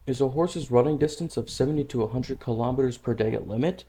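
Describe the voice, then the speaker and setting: A man playing a formal, serious official in a comic dub, speaking in a measured way. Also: medium pitch, dark tone, lightly acted. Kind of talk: comic-book dub voice acting.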